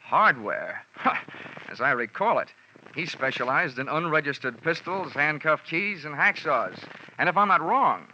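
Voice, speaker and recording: An actor playing a cynical, quippy insurance investigator in a 1940s radio detective drama. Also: male voice, fairly high pitch, animated, measured pace, bright, forceful tone, a little hiss or hum, full character voice. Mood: wry, brisk. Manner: sardonic, quippy. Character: wisecracking, cynical freelance male insurance investigator. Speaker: radio drama lead and narrator. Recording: muffled old radio broadcast, music behind.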